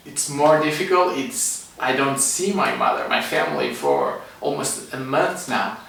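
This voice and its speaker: A middle-aged man from Portugal with a Portuguese accent, giving an informal online class in halting, non-native English as an energy medicine teacher. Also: a little high, fairly bright tone. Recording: echoey room, distant microphone.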